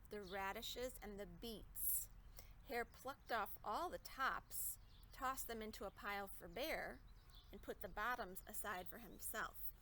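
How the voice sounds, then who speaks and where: Bright-voiced woman reading a picture book. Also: medium pitch, slight background noise. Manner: expressive, questioning, explanatory. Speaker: storybook reader. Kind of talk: read-aloud to children with explanatory asides.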